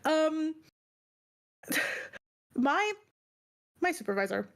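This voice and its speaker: A woman's voice: sits high, animated, very slow. A woman in a casual chat, venting in a rambling, emphatic, exasperated way, stressed and upset, swearing as she goes. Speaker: book club stream co-host.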